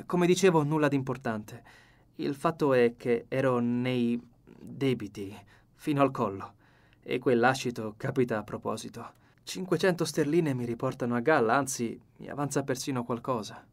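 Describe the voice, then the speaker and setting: A man's voice: medium pitch, normal speed, close to an everyday voice. A man reads as a likeable young male secretary in a mystery audiobook. He is cheerful and frank, talking in a breezy, open way. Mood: confessing. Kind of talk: acted audiobook dialogue.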